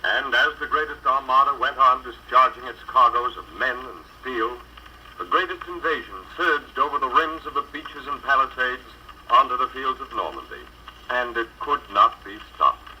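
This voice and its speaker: A man's voice: medium pitch, expressive, moderate pace, bright, forceful tone. An American man reads scripted narration in a dramatic, solemn 1940s radio documentary style, on an old noisy record.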